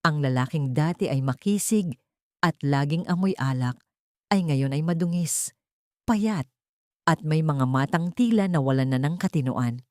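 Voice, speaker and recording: A woman's voice: deep voice, expressive, measured pace, a little mellow, no room sound, clean studio sound. A woman reading a scripted audio story as a dramatic narrator.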